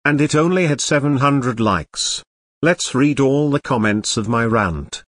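A man voices a bratty cartoon boy who rants with big swoops of pitch. The sound is dry and close, and the delivery stays blunt and insulting. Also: lively intonation, unhurried, fairly bright tone, no echo. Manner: whiny, insulting ranting. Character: bratty young boy troublemaker. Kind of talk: cartoon grounded-video dialogue.

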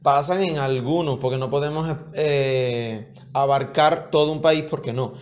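A man's voice: medium pitch, a little slow. A young man co-hosting a casual reaction video indoors, chatty and plugging the channel. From Cuba. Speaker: co-host. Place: indoor room with red curtain.

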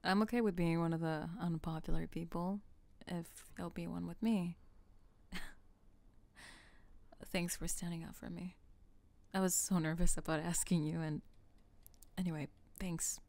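A woman's voice: lowish voice, steady tone, measured pace, pauses often, pitched below the performer's own voice. A woman voicing a sweet, nerdy teenage tomboy with a hesitant, earnest manner in an ASMR roleplay. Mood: shy, grateful. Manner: hesitant, earnest, gently teasing.

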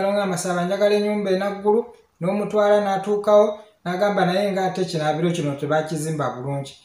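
A man's voice: high voice, a little mellow. A man in his thirties with a Ugandan accent, giving informal, explanatory tips as a property consultant, often checking in with short questions. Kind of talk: informal instructional talk, mixing two languages. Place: small indoor office with hard walls. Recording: echoey small room.

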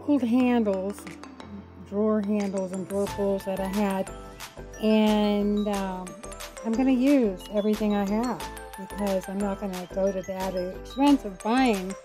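A middle-aged woman vlogger casually walking through a home DIY project in a matter-of-fact, unhurried way. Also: mid-range voice. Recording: roomy echo with music.

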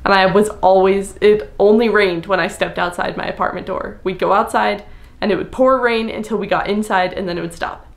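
A young woman from the United States chatting casually to the camera in a small room, relaxed and full of "like" fillers. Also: mid-range voice, fairly quick, creaky. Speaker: vlogger. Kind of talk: casual talking-head vlog. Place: small indoor room with plain wall.

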